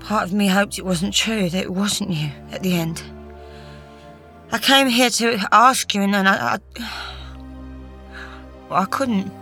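A woman voicing an anguished, volatile young French man who strains and breaks into shouts. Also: mid-range voice, unhurried, long pauses, soft background music. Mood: tearful.

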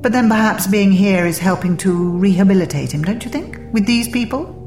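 Woman doing eccentric male space adventurer, breezy, brisk, playful lecturer, storybook dialogue, music behind. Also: mid-range voice, expressive, darkish tone, echoey room, pitched above the performer's own voice, close to an everyday voice. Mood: lecturing. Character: eccentric, breezy, meddling male time-travelling alien adventurer.

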